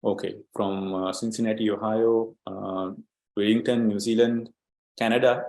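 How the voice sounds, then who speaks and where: A man's voice: fairly low pitch, steady tone, normal speed, creaky, darkish tone, reverberant. A man from Sri Lanka presenting an online training webinar as an agile coach, explaining steadily with many fillers and repeated words.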